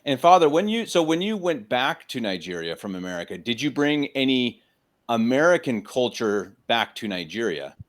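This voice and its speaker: A man's voice: mid-range voice, sing-song, moderate pace. A young man hosting a podcast interview and speaking into a handheld microphone. He talks eagerly and doubles up words as he gets going. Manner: enthusiastic, repeats words when he gets going. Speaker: podcast host. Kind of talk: casual interview conversation. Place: home room with bookshelves, indoors.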